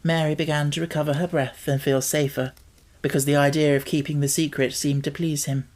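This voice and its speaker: Female narrator, slightly low pitch, scripted storybook reading. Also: flat delivery, a little fast, darkish tone. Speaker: audiobook narrator.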